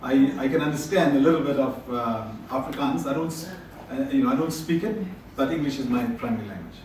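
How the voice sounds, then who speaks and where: A man's voice: mid-range voice, a little fast, darkish tone, slight background noise. A man from South Africa giving a conference presentation in a conversational way. He often restarts and repeats words. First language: Tamil. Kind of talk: presentation with audience questions. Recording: distant mic in echoey conference room.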